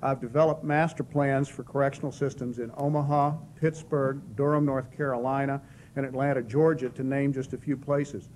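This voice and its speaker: A man with a non-Southern accent, the head of a county's corrections division, giving a formal prepared presentation in a measured, steady way. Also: mid-range voice, slight background noise. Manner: measured, reads statistics steadily. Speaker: director of corrections presenting a program. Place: hall at a press club.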